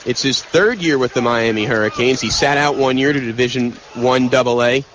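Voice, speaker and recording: A man doing live radio basketball play-by-play as the announcer, in excitable, punchy, clipped phrases. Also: mid-range voice, a little fast, rough recording. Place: courtside in an indoor arena. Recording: loud arena noise behind. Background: loud arena crowd noise.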